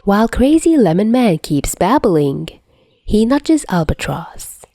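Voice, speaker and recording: A woman's voice: medium pitch, very animated, takes it slowly, dark tone, no echo, studio quality. A woman reading a scripted story as an amateur audiobook narrator. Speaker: amateur fan fiction narrator.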